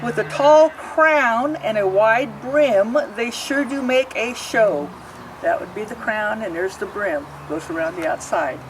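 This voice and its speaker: A woman's voice: a little high, measured pace, recorded from a distance, noisy background. Woman reading a picture book from afar. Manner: sing-song rhyming reading with asides explaining pictures. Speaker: storybook reader.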